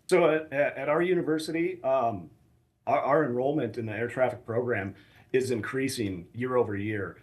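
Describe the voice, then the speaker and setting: An American man speaking as an academic expert in a news interview, explaining things in a measured, hesitant way. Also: mid-range voice. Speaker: assistant professor of air traffic management, expert guest. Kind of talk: live news interview answers.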